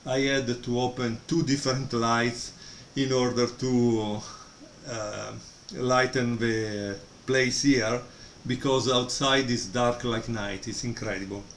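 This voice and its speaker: Guy rambling through a book review, lots of uhs, middle-pitched voice, faint noise in the room. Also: steady tone, slow, a bit bright, echoey room. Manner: hesitant, many fillers. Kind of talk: casual video review. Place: room at home, storm outside.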